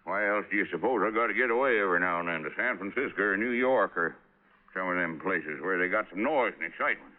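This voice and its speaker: A man's voice: low voice, fast, narrow, tinny audio, natural voice. An actor playing a rowdy, boastful old man of the rural American West in a 1950s radio drama, with a folksy, blustering drawl. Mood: gruff, folksy. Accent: rural Western American. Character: rowdy old backwoods man, boastful, trigger-happy, jovial. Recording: band-limited vintage radio recording.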